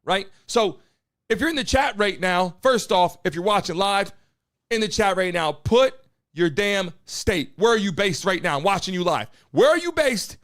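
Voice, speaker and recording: Brief: high-pitched guy hosting a live stream, brash hype-man who keeps calling out to his chat. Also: expressive, normal speed, fairly bright tone. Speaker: live-stream show host. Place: home studio in Miami.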